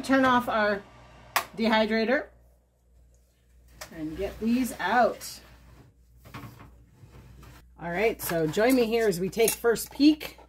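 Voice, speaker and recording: Woman, home cook and channel host; upbeat, chatty, thinks aloud, voice sits a bit high. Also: a little hiss or hum. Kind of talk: casual how-to cooking video. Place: home kitchen.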